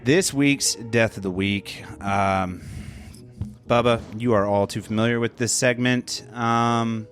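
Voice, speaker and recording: A man's voice: mid-range voice, steady tone, slow, fairly bright tone, faint music behind. A man hosting a podcast, chatting casually, swearing freely and rambling with frequent laughs. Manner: profane, laughs often, loose and rambling. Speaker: podcast host. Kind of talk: casual comedy chat.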